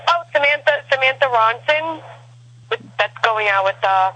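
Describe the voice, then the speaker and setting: A woman in her thirties chatting with a friend on a show, teasing and quick to laugh. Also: high-pitched, lively intonation, pushed and bright, breathy edge, poor audio. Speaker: co-host friend. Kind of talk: casual chat.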